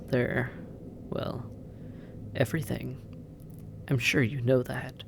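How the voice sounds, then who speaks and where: A sardonic demon captor, played by an actor in an audio drama, drawling slowly with a gravelly fry. The teasing, intimate delivery slips into breathy murmurs and long pauses, over clearly audible background noise. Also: medium pitch. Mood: baffled, probing. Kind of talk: scripted roleplay audio drama, intimate second-person address.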